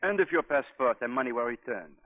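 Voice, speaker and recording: Male actor as smooth, calculating inspector, mid-pitched, formal, veiled menace, old radio sound. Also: animated, lightly acted. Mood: coolly menacing. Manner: formal, measured, silkily menacing. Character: suave, calculating male secret police inspector. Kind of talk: 1940s radio drama acting. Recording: dull lo-fi 1940s radio broadcast.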